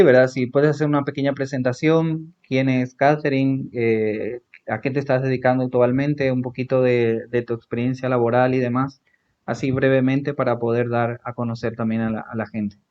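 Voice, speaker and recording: A man's voice: mid-range voice, a little mellow. A man with a Paraguayan accent hosting a casual podcast interview, talking with many fillers and tag questions. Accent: Paraguayan Spanish. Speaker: podcast host. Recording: dull lo-fi audio.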